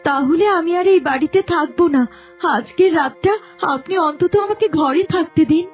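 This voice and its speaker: Woman acting young mom in a cartoon story, really high voice, earnest n pleading. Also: normal speed, faint music behind. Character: pleading young wife and new mother. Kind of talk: animated folk story dialogue.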